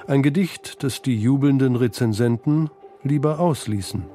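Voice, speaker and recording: A man's voice: mid-range voice, normal speed, over loud music. A man narrating a scripted radio feature in an articulate, composed way. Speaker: documentary narrator. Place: studio.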